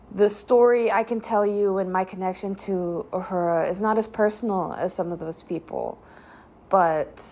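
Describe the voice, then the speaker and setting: Slow, creaky low-voiced woman giving tribute. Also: a little mellow. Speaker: podcast co-host giving a guest tribute. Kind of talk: solemn personal spoken tribute.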